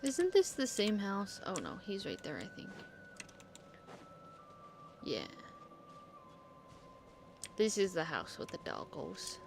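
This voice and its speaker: A woman's voice: mid-range voice, huge pitch swings, slow pace, pauses often, background noise. A woman doing casual gaming stream commentary in a playful, blunt, slangy manner. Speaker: game streamer.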